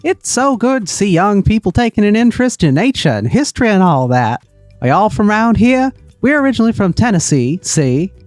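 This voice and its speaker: A man's voice: high-pitched, sing-song, brisk, darkish tone, no echo, soft background music, voice pushed up. A man voicing a noisy, gushing, folksy middle-aged woman tourist who rambles on in an audio story. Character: loud, chatty, folksy middle-aged tourist wife from Wisconsin.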